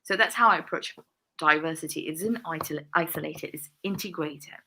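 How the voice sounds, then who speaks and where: Bright-voiced, upbeat woman guest. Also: low-pitched, normal speed, vocal fry, a little hiss or hum, rough recording. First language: English. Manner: upbeat, laughs often. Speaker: guest, diversity consultant. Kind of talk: podcast interview.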